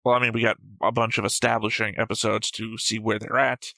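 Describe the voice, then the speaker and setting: A man's voice: lowish voice, flat delivery, a little fast, gravelly, pushed and bright, no room sound. A man chatting casually as a reaction show host, blunt and argumentative, with frequent swearing. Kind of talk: casual chat.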